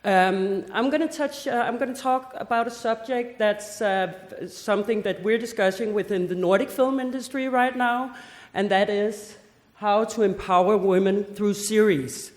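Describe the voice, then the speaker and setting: A woman in her forties from Denmark giving a conference talk, lively, with joking asides and rhetorical questions. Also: mid-range voice, moderate pace. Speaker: festival head giving a seminar talk. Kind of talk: conference presentation. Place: seminar hall. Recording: echoey hall with long reverb.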